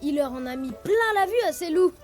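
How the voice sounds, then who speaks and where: A woman's voice: high voice, expressive, a little fast, a little mellow, a little hiss or hum. A woman voicing a boastful, stubborn young male tiger cub in a children's cartoon, boisterous and challenging. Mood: excited. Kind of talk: animated children's cartoon acting. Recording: music behind the voice.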